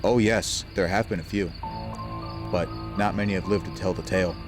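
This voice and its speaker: A man playing a smug, scheming talking train engine in an audio drama, with an ominous, deliberate delivery. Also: low voice, fairly even intonation, lightly acted. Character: scheming, smug male engine, spinning ghost tales. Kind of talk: audio drama character acting. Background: music, cricket and insect night sounds.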